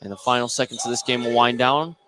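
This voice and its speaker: An American man calling a high school basketball game live as a radio play-by-play announcer. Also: fairly even intonation, fast talker. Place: courtside in a basketball gym. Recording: clearly noisy gym with bouncing ball.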